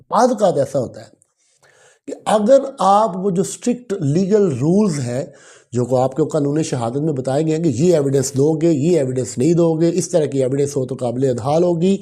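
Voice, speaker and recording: A Pakistani man lectures in an emphatic, didactic way, mixing two languages as he explains. His voice sits a little high for a man and has a husky, mellow grain. He repeats key phrases to drive them home. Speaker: law lecturer.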